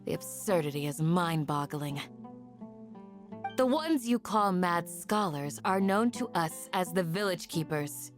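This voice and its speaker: Low, stern warrior guardian. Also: female voice, lively intonation, soft background music. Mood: cold fury. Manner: measured, formal, stern. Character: composed, righteous, intimidating woman warrior, village protector. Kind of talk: video game voice acting.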